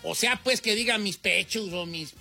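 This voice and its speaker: High-pitched Mexican man bantering as show host. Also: normal speed, gravelly, pushed and bright, faint music behind. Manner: teasing, slangy, mixes in English. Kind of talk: casual group banter, reading listener messages.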